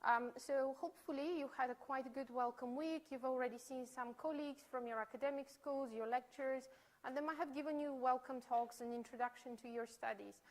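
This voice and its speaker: A woman's voice: sits high, steady tone, brisk. A woman study skills advisor giving a friendly workshop presentation in a lecture room, explaining things step by step with frequent fillers.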